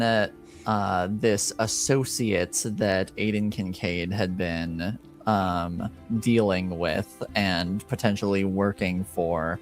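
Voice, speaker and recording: Slow, halting male game master over music. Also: fairly low pitch. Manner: halting, hesitant delivery with long pauses. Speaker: game master and host of a tabletop roleplaying show. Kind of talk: casual recap and banter for a streamed game.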